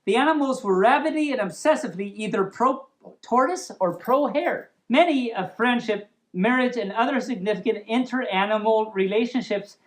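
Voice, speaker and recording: A woman's voice: on the high side, moderate pace, rough recording. A woman reading a humorous story aloud as host, playful and giggly, breaking off for casual asides. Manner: playful, giggly, stumbles over words and riffs on them.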